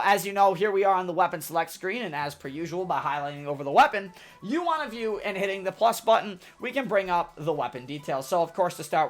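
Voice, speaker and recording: A young man narrates a scripted weapon guide for his channel, loud and full of energy. His pitch jumps around in a lively way, while soft music plays behind him. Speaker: gaming YouTuber giving a weapon guide. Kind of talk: scripted game tutorial narration.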